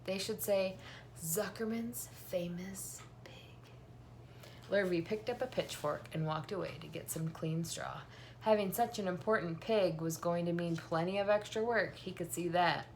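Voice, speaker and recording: Female storybook reader, thirties, lowish voice, homemade recording with background noise. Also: normal speed, creaky. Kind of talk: reading a children's novel aloud. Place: small room at home, indoors.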